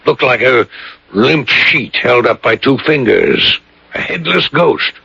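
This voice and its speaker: An actor playing an earnest, superstitious colonel who tells grave stories deliberately in a radio drama. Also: male voice, mid-range voice, animated, creaky, pushed and bright, slightly rough, exaggerated voice. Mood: grave, musing. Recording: dull, roomy old radio broadcast.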